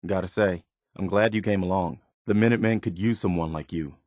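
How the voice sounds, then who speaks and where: Man playing an earnest, low-voiced wasteland militiaman. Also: a little fast, darkish tone, no echo, clean studio sound, natural voice. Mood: sincere, supportive. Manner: sincere, plain-spoken, conversational. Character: earnest, weary, principled militia soldier in a post-apocalyptic wasteland. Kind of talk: video game companion voice lines.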